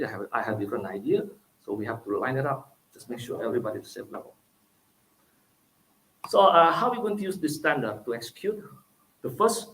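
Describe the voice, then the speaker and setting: A man's voice: mid-range voice, fairly bright tone, low-quality recording. Indonesian-accented man giving a technical talk. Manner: explanatory, frequent "okay?" and "right?" tags, halting fillers. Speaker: conference presenter. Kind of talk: technical conference presentation.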